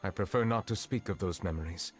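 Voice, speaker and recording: Man acting grave, deep-voiced, courteous judge. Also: faint music behind, close to an everyday voice. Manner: formal, measured, restrained. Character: grave, courteous Chief Justice, dragon in human form. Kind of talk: video game voice acting.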